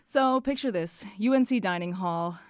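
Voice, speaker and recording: A woman's voice: medium pitch, moderate pace, a loud crowd. A young woman, a band singer being interviewed, chatting casually and playfully telling stories. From Charlotte area, North Carolina, US. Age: young adult. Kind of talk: casual chat, playful storytelling.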